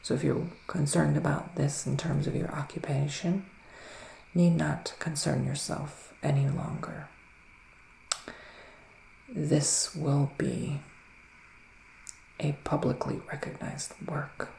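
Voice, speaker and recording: Guy doing asmr witch tarot reading, soft whispery and mystical, gravelly fry, bit of noise behind. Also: on the high side, flat delivery. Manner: hushed, drifting in and out of whisper; unhurried, pausing between short phrases. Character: soothing, mystical male forest witch giving tarot readings. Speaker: ASMR roleplay performer. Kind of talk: ASMR psychic reading roleplay. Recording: roomy echo, faint background noise.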